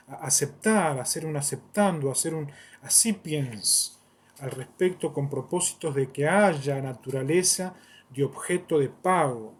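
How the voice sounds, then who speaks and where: A man's voice: fairly high pitch, a little mellow, slightly rough. A man giving a long, rambling monologue in a solemn, declaiming way, repeating phrases for emphasis. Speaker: self-styled theologian.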